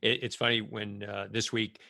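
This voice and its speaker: A man's voice: fairly low pitch, flat delivery, moderate pace, creaky, pushed and bright, no echo, studio quality. A middle-aged man hosting a podcast, chatting casually as he interviews his guest. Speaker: podcast host.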